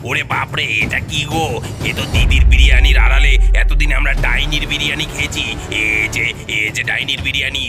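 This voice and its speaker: A man's voice: medium pitch, level tone, brisk, natural voice. A man voicing an agitated village man in a cartoon, exclaiming as he talks. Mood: shocked. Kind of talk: cartoon voice acting. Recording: clear music behind.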